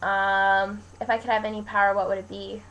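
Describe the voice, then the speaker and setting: A young woman from Canada speaks in a medium-pitched voice. She chats casually as a vlogger, answering viewer questions in loose, colloquial, wandering sentences. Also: flat delivery, fairly quick, a bit bright, muffled recording. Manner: rambling, informal, colloquial with frequent "like". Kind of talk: casual chat to camera, Q&A vlog.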